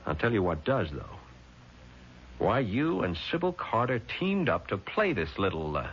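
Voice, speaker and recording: An actor playing a shrewd, protective lawyer in 1970s radio drama, speaking in a deliberate, hard-boiled, probing way. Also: male voice, on the low side, sing-song, fairly bright tone. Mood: cool, pressing. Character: shrewd, cool-headed male lawyer, protective and persistent. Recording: dull, noisy vintage radio recording.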